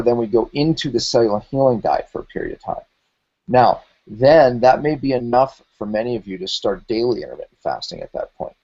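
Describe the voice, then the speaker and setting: A man's voice: medium pitch, a little mellow, highs cut off. A man in his forties, hosting a health talk show and explaining things emphatically, with lots of repeated phrases. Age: 40s.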